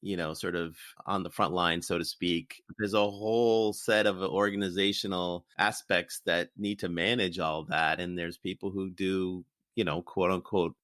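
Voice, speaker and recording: A male podcast host with a very low voice and a bright, forceful tone. He asks measured, thoughtful questions and leans on filler words as he thinks aloud. The sound is dry and close to the mic. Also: steady tone, dry recording. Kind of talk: interviewing a guest.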